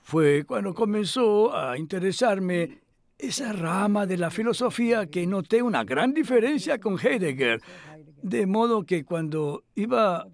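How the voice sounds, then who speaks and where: A man's voice: sits high, animated, measured pace. A male actor dubbing a cultured older scholar who speaks reflectively and hesitantly in a conversational interview. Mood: reminiscing. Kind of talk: voice-over dub of a conversational interview.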